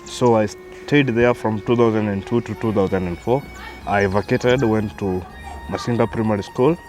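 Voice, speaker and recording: A man's voice: on the low side, moderate pace, darkish tone, faint music behind. A man in his thirties with a Kenyan accent, a guest telling his life story, who hesitates and repeats words as he starts. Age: 30s. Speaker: interview guest.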